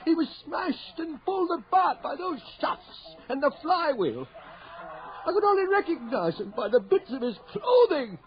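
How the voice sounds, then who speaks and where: A man playing an anxious, grief-stricken middle-aged husband in an old-time radio horror drama, halting and emotional. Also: very high-pitched, big pitch swings, soft background music. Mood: horrified protest. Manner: halting, emotional, melodramatic delivery. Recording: dull lo-fi radio drama recording.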